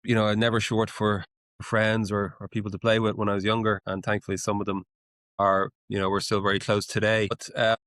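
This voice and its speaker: An Irish man in his forties with a low voice answers interview questions, often pausing and restarting as he searches for words. The sound is dry and close to the mic. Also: level tone, a bit bright, no echo. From Ireland. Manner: hesitant, with many fillers and restarts. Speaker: guest, menswear brand founder. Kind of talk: casual interview.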